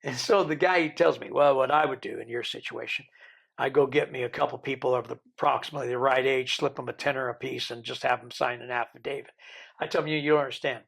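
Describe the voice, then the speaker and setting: A man in his sixties, from the Isle of Man, with a Birmingham accent softened by decades in America, telling his life story in an interview with rambling, joking asides. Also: medium pitch, fairly even intonation, quick pace, gravelly, breathy edge. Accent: American-tinged Birmingham English. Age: 60s. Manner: rambling, joking, self-deprecating. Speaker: interview guest.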